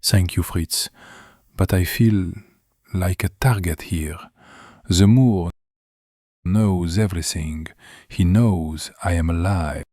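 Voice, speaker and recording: Man playing a deep, slow, hunted witness. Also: mellow, no room sound, clean studio sound. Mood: afraid. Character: fearful, hunted male witness. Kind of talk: audio drama dialogue.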